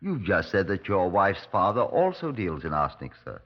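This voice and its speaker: A man's voice: low-pitched, huge pitch swings, moderate pace, exaggerated voice. An actor playing a dry, skeptical Scotland Yard superintendent in 1950s radio drama style, wry and understated as he questions and narrates. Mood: skeptical, needling. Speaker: detective and narrator. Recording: dull old radio recording.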